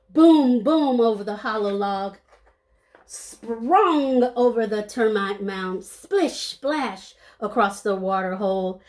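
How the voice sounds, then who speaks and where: A woman reading a children's story aloud expressively, playing up the sound words and adding short asides to her listeners. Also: a little high. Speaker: storybook reader.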